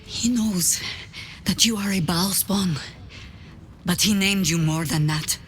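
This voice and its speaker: An actress playing a principled woman warrior in a fantasy game, guarded but loyal, speaking in an earnest, measured, formal way. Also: fairly low pitch, slight background noise. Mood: wary demand. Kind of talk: game dialogue acting.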